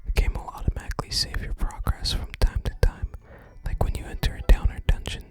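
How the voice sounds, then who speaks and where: A person whispering softly while hosting an ASMR video game playthrough and reading game text aloud. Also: fairly quick. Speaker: host of a gaming ASMR channel. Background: game music.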